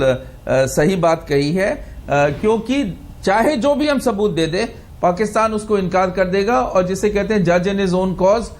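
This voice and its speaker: A man with a high-pitched voice gives analysis as a guest on a news panel. He feels his way into sentences, then argues firmly and deliberately. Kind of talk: spoken analysis in a news debate.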